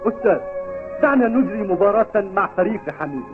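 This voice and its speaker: A man's voice: sits high, sing-song, quick pace, music in the background. A man playing a principled school football captain in an Arabic cartoon dub, speaking earnestly and firmly. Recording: tinny, phone-like old TV dub audio.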